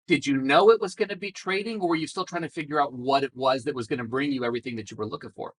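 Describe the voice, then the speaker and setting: A man's voice: medium pitch, rapid-fire. A man hosting a casual interview, encouraging and reflective, with repeated stumbling starts. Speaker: interviewer, host.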